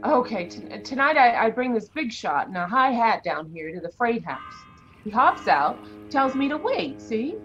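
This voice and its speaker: Feisty lady cab driver, flustered n slangy, pitched up, old radio style, music under. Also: normal speed, lo-fi sound. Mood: indignant, recounting. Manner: slangy, excitable storytelling. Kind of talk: 1940s radio drama acting.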